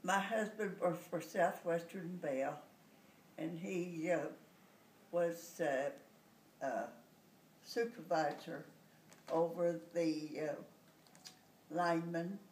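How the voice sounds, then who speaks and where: A woman's voice: on the low side, fairly even intonation, very slow, long pauses, a little mellow, slight background noise. Hesitant woman in her eighties. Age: 80s. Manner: hesitant, many "uh" fillers. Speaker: interviewee. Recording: roomy echo, distant mic.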